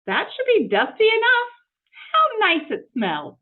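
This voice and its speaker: A woman's voice: very high-pitched, wildly swooping pitch, measured pace, phone-quality sound, voice pushed up, heavily acted. A woman voicing a cheerful, naive, literal-minded housemaid from a children's storybook, exclamatory, wonderstruck and folksy. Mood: amazed. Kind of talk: children's storybook read-aloud.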